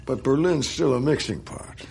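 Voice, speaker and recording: An actor playing a shrewd senior male spymaster in a radio drama, brisk, probing and knowing. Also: mid-range voice, lively intonation, creaky, a little mellow, slight background noise. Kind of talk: naturalistic radio drama dialogue.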